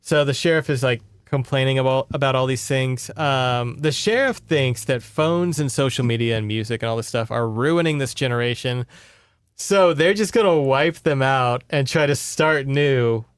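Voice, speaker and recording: Guy podcaster recapping a book, clean smooth voice, swears a lot, kinda fed up and sarcastic. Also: mid-range voice, moderate pace, no echo. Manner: sweary, sarcastic, exasperated asides. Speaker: podcast host. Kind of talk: casual recap of a horror novel.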